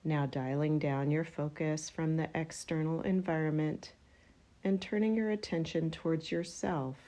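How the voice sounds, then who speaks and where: A woman leading a guided yoga nidra relaxation, speaking in gentle, spaced-out phrases as she gives unhurried instructions. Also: sits low, fairly even intonation.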